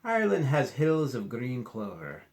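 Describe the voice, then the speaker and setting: A man's voice: medium pitch, huge pitch swings, unhurried, pitched above the performer's own voice. A man voicing a jolly old Irish grandfather spinning leprechaun tales in a storybook read-aloud, with emphatic delivery. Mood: cheery.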